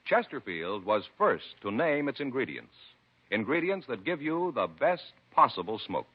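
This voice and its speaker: Male sponsor announcer, 1950s radio ad. Emphatic, lively intonation, husky edge, muffled recording. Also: medium pitch, normal speed. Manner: polished, emphatic selling delivery. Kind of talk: scripted 1950s radio cigarette commercial.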